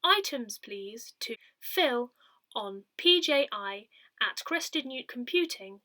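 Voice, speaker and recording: A woman with a British accent reads a community newsletter aloud. Her voice is bright and a little high, with animated, rising and falling intonation. She phrases each item in a measured, deliberate way, as a reader of notices. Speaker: volunteer reader of an audio parish newsletter. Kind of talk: scripted narration, reading a newsletter and notices aloud.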